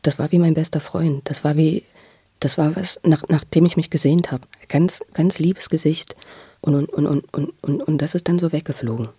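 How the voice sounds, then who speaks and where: A man giving halting personal testimony in conversation, repeating words as he searches for how to say things. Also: a little high, mellow, a little hiss or hum. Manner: stammering word repetitions, searching for words. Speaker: interviewee recounting his own experience.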